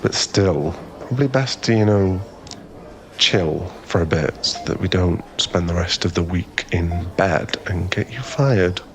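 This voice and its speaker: A man playing a flustered, smitten young man in an ASMR boyfriend roleplay, halting and stammering as he rambles nervously to a crush. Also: sits low, a little hiss or hum, poor audio. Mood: smitten, earnest.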